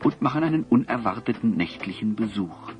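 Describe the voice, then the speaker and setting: A man's voice: fairly low pitch, animated, brisk, lo-fi sound, music in the background, natural voice. A man narrating a fantasy adventure as storyteller of a children's audio drama, in scripted storybook style. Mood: solemn storytelling.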